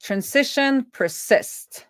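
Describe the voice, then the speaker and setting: A woman's voice: high-pitched, expressive, slow, a bit bright, clear, resonant tone, dry recording. A woman doing casual live coding commentary as a streaming developer, thinking aloud as she goes.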